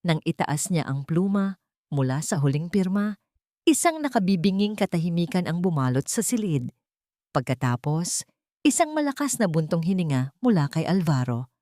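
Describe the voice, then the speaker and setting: A woman's voice: on the low side, sing-song, moderate pace, dark tone, dry recording, clean studio sound. A woman with a Filipino accent narrating a scripted dramatic story.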